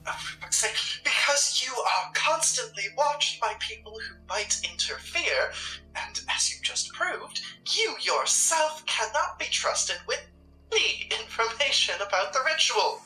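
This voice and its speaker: A woman plays a guarded, pragmatic occult shopkeeper in a horror drama. Her sharp, forceful voice is curt and dry, heard from a little way off in a roomy space with music behind. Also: on the high side, big pitch swings, measured pace, heavily acted. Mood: exasperated. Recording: roomy, distant mic with music.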